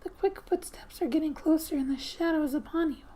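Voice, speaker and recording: A woman speaking softly and breathily in gentle ASMR style, often slipping into a whisper as she reads a game story aloud with casual asides. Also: sits high, brisk. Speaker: gaming ASMR creator. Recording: quiet background music. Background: soft music.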